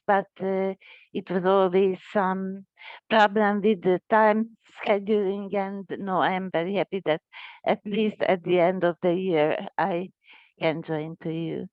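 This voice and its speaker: Slow, even Hungarian-accented older woman. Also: medium pitch, clean tone, dry recording. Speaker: social work teacher. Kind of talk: self-introduction in online meeting. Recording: narrow, phone-like call audio.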